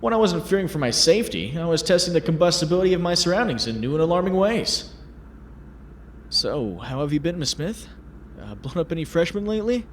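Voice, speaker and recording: A man's voice: a little high, big pitch swings, brisk, a little hiss or hum. A man playing a wry, self-deprecating young superhero who works as a reporter, in a comic dub. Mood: amused, fond. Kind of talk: comic dub acting with narration.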